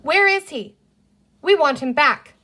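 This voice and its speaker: A woman's voice: high-pitched, lively intonation, a little slow, sharp tone, pitched above the performer's own voice, full character voice. A woman voicing a bossy, decisive girl in a children's storybook, with a firm, commanding manner. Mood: grim, accusing.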